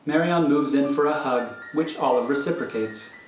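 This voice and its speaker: A man's voice: medium pitch, normal speed. A man reading scripted screenplay narration as the narrator of a thriller. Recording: roomy recording over faint music.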